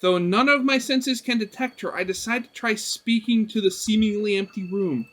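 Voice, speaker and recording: A man reading a visual novel aloud as a gaming host, expressive, mixing dramatic narration with informal asides. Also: high-pitched, moderate pace, soft background music. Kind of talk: dramatic story narration with casual commentary.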